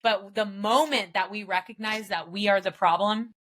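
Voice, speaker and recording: A woman's voice: medium pitch, fairly even intonation, normal speed, a bit bright. A woman guest in a podcast interview, speaking emphatically and casually about mindset, with an occasional light swear. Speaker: guest, business founder. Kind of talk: motivational interview talk.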